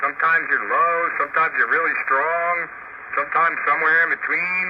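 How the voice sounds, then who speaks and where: A man's voice: a little high, fairly even intonation, fairly quick, highs cut off. A man chatting casually and matter-of-factly over two-way radio as an operator talking shop. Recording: noisy, band-limited two-way radio transmission. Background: radio static.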